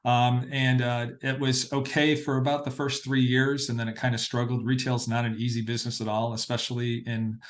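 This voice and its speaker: A middle-aged American man speaks as an interview guest, recounting things in a conversational way. His intonation stays fairly even, with frequent pauses while he gathers his phrasing. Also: mid-range voice. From Massachusetts, northeastern United States. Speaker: interview guest, parade producer.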